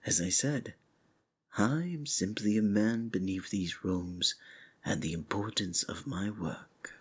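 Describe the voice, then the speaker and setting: A man playing a sinister, seductive cult preacher in an intimate ASMR roleplay, husky and breathy, often sinking into whispers, with archaic, formal endearments. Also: fairly low pitch, slow pace. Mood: smooth, menacing.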